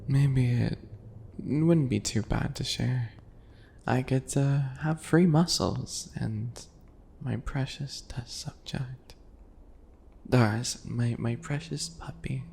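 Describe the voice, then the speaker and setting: Man playing a slow, breathy, teasing yandere boy. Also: medium pitch, darkish tone, full character voice. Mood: smug teasing. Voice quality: breathy, slightly gravelly, dropping into whispers. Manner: slow, teasing, mock-sweet. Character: small smug obsessive yandere man, teasing and sinister. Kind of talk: ASMR roleplay acting.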